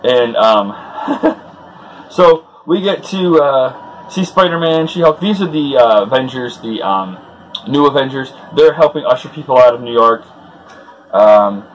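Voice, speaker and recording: A man with an average-pitched voice hosts a comic read-along. He retells the story casually and off the cuff, like an informal fan, slipping loosely into dialogue in his own voice and adding his own commentary. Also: expressive, unhurried, poor audio.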